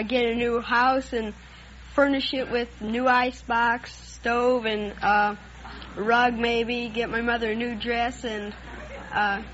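A man's voice: very high voice, pushed and bright. A teenage boy, a quiz contestant, answering in an earnest, plain-spoken way. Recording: dull vintage radio recording, faint noise.

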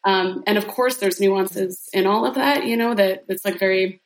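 A woman's voice: medium pitch, normal speed. Woman guest on a podcast, talks it through with lots of ums and right?, roomy echoey sound. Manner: explanatory, laughs often, many fillers like "um" and "right?". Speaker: podcast guest, sex coach. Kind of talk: casual interview talk. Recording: roomy echo.

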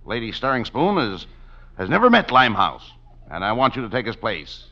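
A streetwise, impatient police lieutenant in a vintage radio comedy. He is blunt and forceful, with a lively swing in pitch. The recording is dull and old. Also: moderate pace. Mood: urgent, exasperated. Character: brisk, impatient male police lieutenant, detective's pal.

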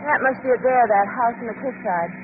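A woman's voice: on the high side, a little fast, lightly acted. An actress playing a refined, curious, uneasy young lady companion to a sleuth in a 1940s radio drama, well-spoken and questioning. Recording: noisy, tinny old radio broadcast.

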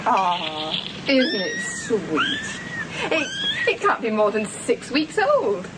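A high, wildly expressive woman's voice over clear noise from an old radio recording. a young wife, tender-hearted and eager, with gushing emotion in every phrase. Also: takes it slowly, full character voice. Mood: delighted. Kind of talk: radio drama acting.

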